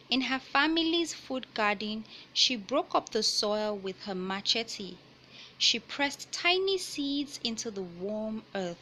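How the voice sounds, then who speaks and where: A woman reading a children's picture book aloud in a deliberate, teacherly way, pausing to explain and repeat key points. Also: on the high side, pushed and bright, a little hiss or hum, poor audio. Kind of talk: read-aloud storytelling with explanatory asides.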